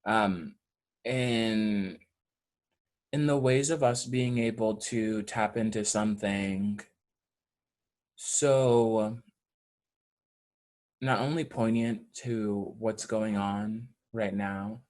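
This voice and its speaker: A man with a fairly low voice speaks at a slow, deliberate pace. He sounds earnest and measured, reflecting aloud in an interview. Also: fairly even intonation, a little mellow. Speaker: stage actor in an audio play.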